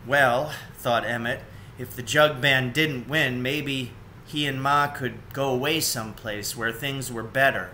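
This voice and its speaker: A man's voice: medium pitch, steady tone, a little slow, bright, forceful tone, a little hiss or hum. A man in his forties reading a children's storybook aloud, recorded indoors at home on a webcam.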